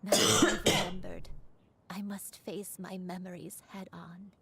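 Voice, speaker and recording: A woman voicing a gentle, sorrowful grandmother in a video game, with a tender, hushed manner. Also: on the low side, little pitch movement, a little slow. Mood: resolved. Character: gentle, sorrowful grandmother burdened by regained memories.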